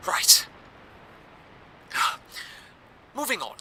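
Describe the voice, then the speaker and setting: A man's voice: extremely slow, bright, forceful tone, in a whisper, heavily acted. A man playing an earnest, deferential radio presenter in an audio drama, speaking in a formal, theatrical scripted style. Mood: apologetic. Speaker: radio broadcast host.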